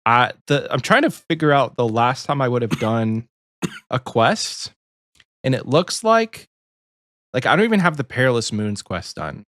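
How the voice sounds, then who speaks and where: A man in his early thirties co-hosting a podcast, easygoing and quick to laugh. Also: medium pitch, sing-song, a bit bright, dry recording, clean studio sound. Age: 30s. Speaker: podcast co-host. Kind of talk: casual chat.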